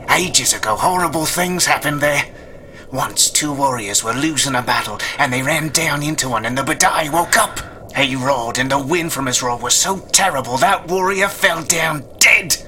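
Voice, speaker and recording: An actor playing a fearful, superstitious guide in a radio drama, solemnly telling ominous old legends. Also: male voice, mid-range voice, pushed and bright, slight background noise.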